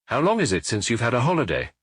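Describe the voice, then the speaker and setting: Man reading frank family doctor, brisk, reassuring, husky, close and dry. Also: mid-range voice, wildly swooping pitch, a bit bright, no echo, full character voice. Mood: firm. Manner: brisk, reassuring, lightly teasing.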